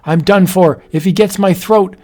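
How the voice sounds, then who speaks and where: A man's voice: mid-range voice, animated, moderate pace, a little mellow, soft background music, natural voice. A man voicing a rugged, skeptical hero who turns brave in a read-aloud horror story. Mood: urgent.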